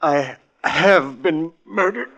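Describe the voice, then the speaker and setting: An actor playing a grave, desperate mystery writer in a 1940s radio play, speaking haltingly and dramatically. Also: male voice, a little high, creaky, sharp tone, a little hiss or hum, exaggerated voice.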